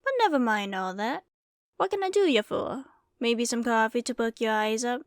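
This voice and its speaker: Woman voicing a folksy, high-pitched Wild West saloon keeper. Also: moderate pace, no room sound, studio quality, lightly acted. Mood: welcoming, folksy. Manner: folksy, rambling, drawling storytelling. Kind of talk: ASMR roleplay, storytelling to the listener.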